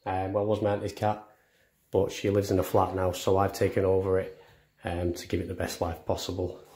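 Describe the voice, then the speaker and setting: A young man with a fairly low voice shows viewers around a house. He talks casually and chattily, with self-deprecating jokes, as he walks from room to room filming on a phone. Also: completely flat delivery, a little fast, warm tone. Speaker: vlogger. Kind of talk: casual house tour narration. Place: indoors, walking through rooms of a house. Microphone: handheld phone camera.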